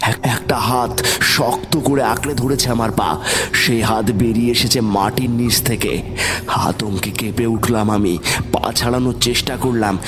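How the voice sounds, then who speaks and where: A man with a low voice narrates a horror story in the first person. His delivery is scripted, dramatic and suspenseful, with faint music behind him.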